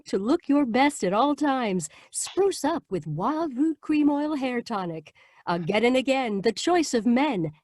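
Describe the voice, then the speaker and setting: A woman delivers an old-time radio commercial with earnest salesmanship. The voice is fairly high yet soft-toned. Her intonation is very animated. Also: no echo, clean studio sound. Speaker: commercial announcer. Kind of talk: 1940s radio commercial, scripted.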